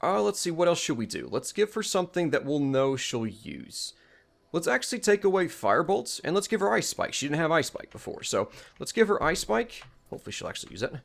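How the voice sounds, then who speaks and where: A man's voice: a little high, very animated, fairly quick, vocal fry. A man giving a casual, unscripted game demonstration, explaining each step and thinking aloud as he goes. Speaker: mod showcase presenter. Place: indoors at home, voice-over on gameplay.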